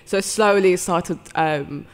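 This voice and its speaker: A woman's voice: on the low side, unhurried. A woman in her twenties with a London accent, a chatty, teasing guest on a live podcast who giggles often. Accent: London English. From raised in London, born in Saudi Arabia. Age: 20s.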